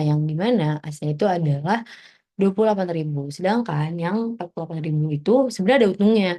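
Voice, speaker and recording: A woman's voice: lowish voice, dark tone. A young woman giving an informal student presentation, explaining step by step in a conversational way. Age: young adult.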